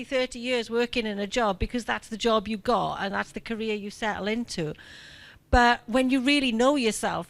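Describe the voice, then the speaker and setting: A woman's voice: on the high side, gravelly, a bit bright. A woman in her forties speaking enthusiastically and chattily at a forum panel. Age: 40s. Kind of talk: conversational forum remarks.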